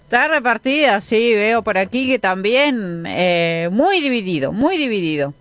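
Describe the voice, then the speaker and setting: Unhurried radio co-host woman. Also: mid-range voice, very animated, a bit bright, dry recording. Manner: measured, with hesitant fillers. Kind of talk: reading listener messages on air.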